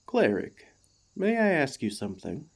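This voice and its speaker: A man playing an earnest, devoted warforged ex-gladiator in an ASMR roleplay, speaking to the listener with formal, courteous, gently hesitant phrasing. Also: medium pitch, huge pitch swings, a little slow, exaggerated voice. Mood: warm, tentative.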